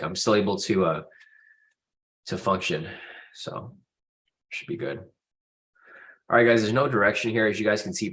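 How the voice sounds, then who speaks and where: A man's voice: low-pitched, a bit bright. A man with a stuffy nose from a cold, hosting a live trading stream in a casual, informal way and addressing viewers directly. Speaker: host of a live trading room.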